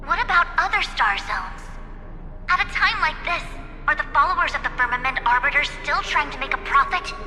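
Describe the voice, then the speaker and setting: A woman's voice: high-pitched, lively intonation, fairly quick, bright, forceful tone, heavily acted. An actress playing a stern, dutiful female envoy in a game cutscene, speaking in a formal, pointed way. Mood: skeptical. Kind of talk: scripted game cutscene acting. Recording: echoey hall reverb, music underneath.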